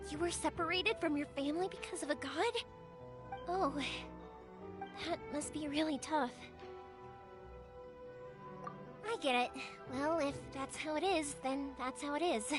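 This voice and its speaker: An actress playing a cheerful, caring young woman in a video game, chatty, expressive and easygoing. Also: sits high, normal speed, over music, natural voice. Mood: sympathetic, thoughtful. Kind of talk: video game character acting.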